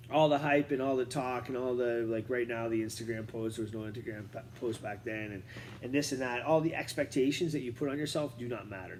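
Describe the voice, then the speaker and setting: A martial arts coach talking casually while seated, full of fillers and restarts but fired up. His voice sits in an ordinary middle pitch, with a faint background hiss. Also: rough recording. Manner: rambling, filler-heavy, fired up. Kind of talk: casual talk to camera. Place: seated on a chair indoors.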